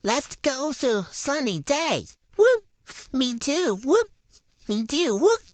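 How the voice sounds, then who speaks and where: A woman with a fairly high voice improvises puppet show dialogue. She speaks slowly and her pitch moves up and down a lot. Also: big pitch swings, no room sound.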